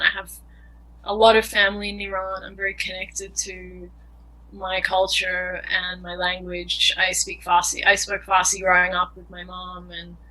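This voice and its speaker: A woman's voice: mid-range voice, bright, forceful tone, poor audio. A young woman filmmaker answering interview questions in an earnest, thoughtful way, often searching for words. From Australia.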